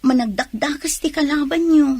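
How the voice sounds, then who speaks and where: A woman's voice: high-pitched, moderate pace, a little mellow, no echo, lightly acted. A woman playing an anxious, worried wife in a radio drama.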